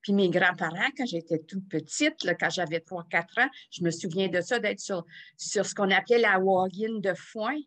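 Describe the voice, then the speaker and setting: A woman's voice: medium pitch, normal speed. An older woman, a guest in a casual remote interview, telling stories from her youth with restarts. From Cornwall, Ontario. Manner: warm storytelling with laughs and restarts. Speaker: interview guest, community association president.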